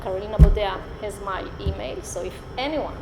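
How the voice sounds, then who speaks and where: A woman's voice: medium pitch, a little fast. Israeli woman lecturing in an echoey hall. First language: Hebrew. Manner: animated, gestures a lot, many "uh" fillers. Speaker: college lecturer. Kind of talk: spontaneous guest lecture. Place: lecture room. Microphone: far camera. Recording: roomy hall with background noise.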